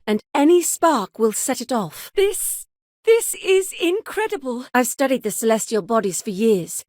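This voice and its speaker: A woman's voice: on the high side, darkish tone, dry recording, clean studio sound. An actress playing a curious, courteous woman scientist in a science fiction video game, speaking earnestly in formal, old-fashioned phrasing. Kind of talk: scripted video game dialogue.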